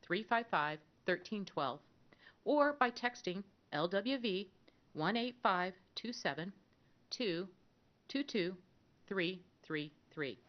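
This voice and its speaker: A woman moderating a public candidate forum, reading out rules and introducing candidates in a measured announcing way. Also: medium pitch. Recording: dull lo-fi broadcast audio.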